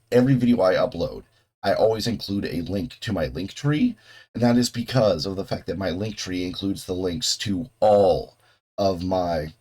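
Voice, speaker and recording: Man, deep voice, hoarse from a cold, rambling casual host, off-the-cuff swearing. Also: darkish tone. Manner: rambling and loose, with frequent swearing and restarts. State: hoarse, getting over a cold. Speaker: host of a video reaction channel.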